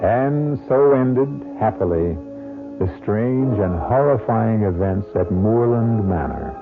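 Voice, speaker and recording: A man's voice: on the low side, slow pace. A man hosting and narrating an old radio mystery in a dramatic, scripted storytelling style. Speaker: host and narrator. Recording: narrow-band vintage radio recording. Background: music.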